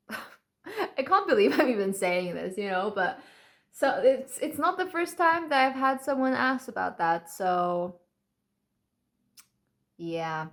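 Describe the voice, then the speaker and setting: A woman's voice: on the high side, sing-song, slow, pauses often. A Polish woman in her twenties with a mixed, American-leaning English accent, telling stories to camera in a chatty way and often laughing. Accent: light mixed American-leaning English accent. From Poland. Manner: chatty and laughing, acts out quoted voices. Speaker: vlogger. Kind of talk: casual storytelling to camera.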